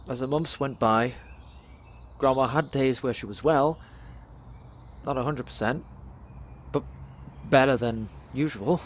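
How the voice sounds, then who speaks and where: A young man performs a dramatic spoken word monologue in a measured, deliberate storytelling way, pausing between phrases. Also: mid-range voice, slight background noise. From England. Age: young adult.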